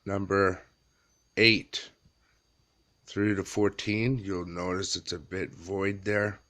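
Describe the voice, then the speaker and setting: A man in his thirties giving a casual how-to walkthrough in a halting, plain-spoken way, pausing often. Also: sits low, little pitch movement, pushed and bright. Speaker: amateur tutorial presenter. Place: small dim room indoors.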